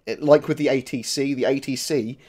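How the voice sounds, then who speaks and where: A man's voice: mid-range voice, steady tone, brisk, darkish tone, slightly rough. Rambling man streaming. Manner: rambling, swears, many fillers and restarts. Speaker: streamer answering viewer questions. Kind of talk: casual live-stream chat.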